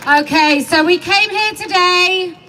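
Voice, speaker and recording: A woman's voice: very high-pitched, slow. Defiant woman addressing an outdoor rally. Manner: defiant, emphatic, blunt. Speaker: rally speaker. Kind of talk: outdoor protest speech. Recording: loud crowd and street noise.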